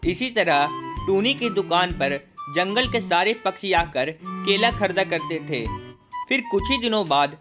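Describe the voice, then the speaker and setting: Female storyteller, slightly low pitch, scripted kids' story narration, light music bed. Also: animated, unhurried.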